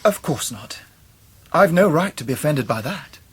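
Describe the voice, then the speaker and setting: An actor playing an earnest, polite young Victorian English gentleman in a radio drama. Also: on the high side, sing-song, normal speed, husky, no echo, heavily acted. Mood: guarded, courteous. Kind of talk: radio drama narration and dialogue.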